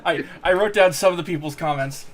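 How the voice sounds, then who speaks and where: A man co-hosting a casual podcast chat, his voice a little hoarse, joking and laughing often. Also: fairly high pitch, fairly quick. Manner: laughs often, jokey asides. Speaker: podcast co-host.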